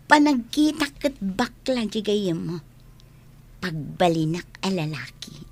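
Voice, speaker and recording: A woman's voice: medium pitch, slow pace, a little mellow, slight background noise. An actress playing a sassy, teasing young female student with an Ilocano accent in a comedy radio drama. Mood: brash, scoffing.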